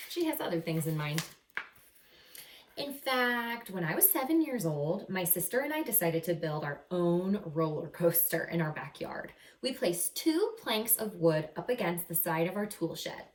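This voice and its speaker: Female teacher, expressive story-time read-aloud, animated intonation, chatty asides to kids, echoey room. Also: fairly low pitch, moderate pace. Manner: animated, acts out characters, stretches key words. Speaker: second-grade teacher reading aloud. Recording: roomy echo, some distance from mic.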